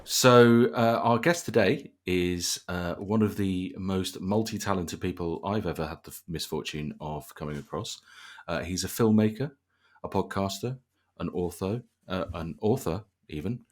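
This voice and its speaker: A man with a very low voice hosts a relaxed podcast. He talks in a bantering, conversational way, with dry humour often aimed at himself. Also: normal speed. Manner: dry, jokey, self-deprecating. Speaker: podcast host. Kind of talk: casual bantering podcast interview.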